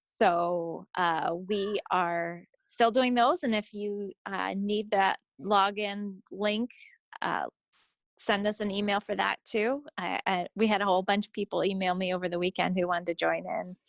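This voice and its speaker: A woman's voice: mid-range voice, fairly even intonation, measured pace, creaky, no echo. An American woman co-hosting a podcast in casual chat, on a slightly dull recording. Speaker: podcast co-host.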